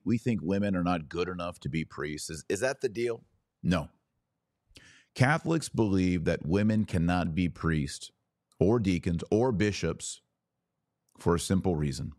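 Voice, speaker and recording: A man hosting a podcast, delivering an explanatory religious monologue with deliberate, emphatic phrasing and stressed key words. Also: sits low, a little mellow, no room sound, clean studio sound. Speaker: podcast host.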